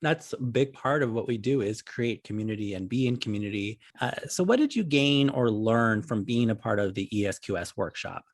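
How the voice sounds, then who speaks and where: A man's voice: fairly low pitch, animated, brisk, few pauses, dry recording, clean studio sound. A young man hosting a casual interview as a workshop facilitator, putting questions in a conversational way.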